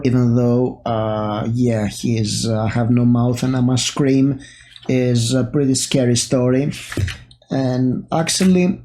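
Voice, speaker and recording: A man's voice: medium pitch, warm tone, slight background noise. A man hosting a casual horror book review, retelling the story in a chatty way with many fillers. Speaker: host.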